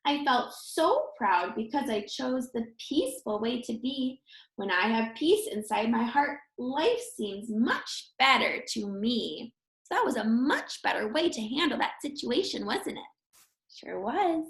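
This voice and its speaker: A woman reading a children's picture book aloud expressively, like a teacher, with encouraging asides spoken straight to young listeners. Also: high-pitched. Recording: roomy, echoey sound.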